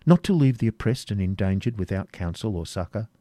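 A man voicing a gentle young woman prisoner who pleads softly for help. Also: fairly low pitch, lively intonation, fairly quick, no room sound, studio quality, close to an everyday voice. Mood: pleading. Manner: soft, pleading.

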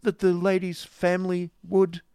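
A dainty, genteel landlady in her fifties, prim and a little hesitant in her speech, as a male audiobook reader puts her on. Her voice sits higher and lighter than the reader's own. The close studio sound stays dry. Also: completely flat delivery, measured pace, warm tone, smooth, no room sound. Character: mincing genteel woman of fifty, a landlady. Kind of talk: audiobook character voice.

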